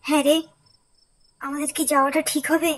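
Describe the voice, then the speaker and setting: A woman's voice: high voice, little pitch movement, fairly quick, voice pushed up, lightly acted. A woman voicing a curious, bossy young friend in a horror cartoon, chatty and emphatic. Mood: uneasy.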